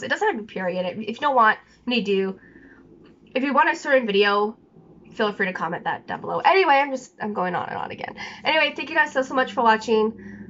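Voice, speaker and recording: A woman's voice: mid-range voice, a bit bright, muffled recording. A young woman vlogging casually from her bedroom, rambling with lots of fillers and restarts, a bit sniffly with a scratchy throat. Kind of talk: rambling casual chat to camera. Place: small bedroom indoors.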